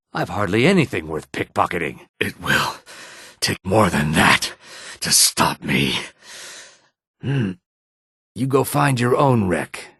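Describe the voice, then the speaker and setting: A reptilian assassin from a fantasy video game, speaks from deep in his register. His voice is rough and husky, with deliberate phrasing. The sound is close and dry, with no room around it. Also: a bit bright, no room sound, full character voice. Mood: wry, pained, then hostile, possessive. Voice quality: husky, rasping. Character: low-voiced male reptilian Argonian assassin and outlaw. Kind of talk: video game character dialogue.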